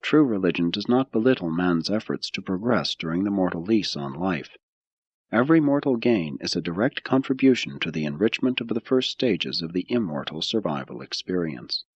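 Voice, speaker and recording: A man reading a scripted audiobook of religious philosophy in a measured, solemn, deliberate way. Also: sits low, husky, no room sound, muffled recording. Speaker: audiobook narrator.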